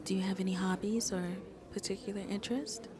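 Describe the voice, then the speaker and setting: A woman playing a shy but playfully flirty vampire on a date in an ASMR roleplay, speaking softly and intimately and often slipping into breathy whispers. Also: mid-range voice, steady tone, fast talker, crowd noise, lightly acted. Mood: curious, attentive.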